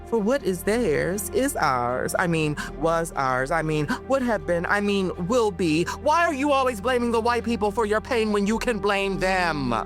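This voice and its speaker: A woman's voice: mid-range voice, lively intonation, unhurried, hardly any pauses, over music, voice pushed up, lightly acted. A woman performing a sweetly menacing colonizer. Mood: sneering. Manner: mock-soothing, condescending, with stumbling self-corrections. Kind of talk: spoken-word poetry performance.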